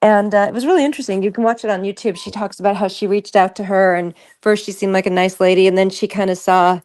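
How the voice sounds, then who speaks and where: A woman's voice: mid-range voice, fairly even intonation, quick pace, darkish tone. A woman chatting casually, telling things in an informal, meandering way. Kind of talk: casual chat.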